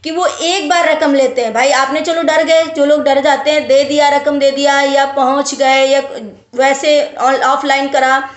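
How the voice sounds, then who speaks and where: A woman's voice: high voice, level tone, unhurried. A woman vlogger talking casually to viewers and giving advice, explaining with emphatic repetition. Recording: roomy, echoey sound.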